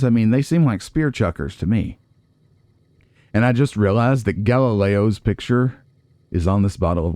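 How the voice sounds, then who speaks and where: A man's voice: on the low side, dark tone, no room sound. A man hosting a casual video game playthrough, musing aloud and making wry asides to viewers. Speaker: let's play host. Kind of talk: casual game commentary, reading and reacting aloud.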